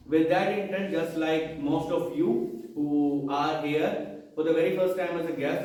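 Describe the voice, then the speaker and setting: A man's voice: fairly high pitch, a little mellow. A man from Haryana with an Indian English accent, whose first language is Haryanvi, giving a motivational speech to a club audience and quizzing them with rhetorical questions. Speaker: guest speaker at a club installation ceremony. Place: meeting hall, indoors. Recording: very echoey room, mic far off.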